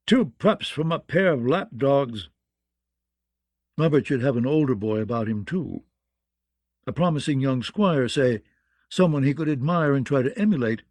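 A man's voice: medium pitch, animated, moderate pace, long pauses, darkish tone, no room sound, natural voice. A man voicing a contemptuous, hot-headed nobleman swordsman with a sneering, goading delivery in a fantasy audiobook. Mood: scornful. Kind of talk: fantasy audiobook character acting.

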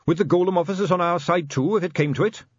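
A man's voice: sits high, fast talker, no room sound, highs cut off, pitched above the performer's own voice. A man voicing a keen, respectful young police captain in an audiobook, earnest and upbeat. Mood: eager.

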